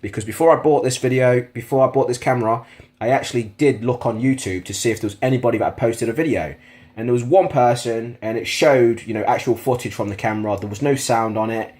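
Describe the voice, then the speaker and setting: A man's voice: medium pitch, fast, a little mellow. A British man giving an informal, matter-of-fact product review to camera, speaking in a plain-spoken, complaining way. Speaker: product reviewer on a video channel.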